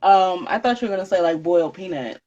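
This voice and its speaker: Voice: mid-range voice, quick pace, darkish tone. A podcast host asking casual questions in an easygoing, agreeable way with frequent laughter. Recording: slightly dull lo-fi audio.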